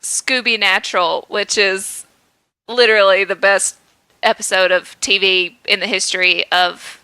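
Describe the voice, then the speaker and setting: A woman's voice: mid-range voice, steady tone, a little slow, sharp tone, smooth, dry recording. A young woman co-hosting a fan podcast, enthusiastic and hyperbolic in casual chat. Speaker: podcast co-host.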